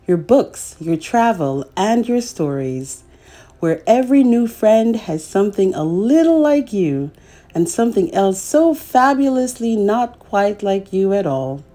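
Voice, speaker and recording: A woman's voice: medium pitch, warm tone. A woman hosting a children's read-aloud, telling a picture-book story expressively and speaking directly to young listeners.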